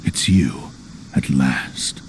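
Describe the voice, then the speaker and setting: A man's voice: extremely low pitch, extremely slow, over music, heavily acted, rough recording. An actor playing a menacing, brooding swordsman villain in a video game.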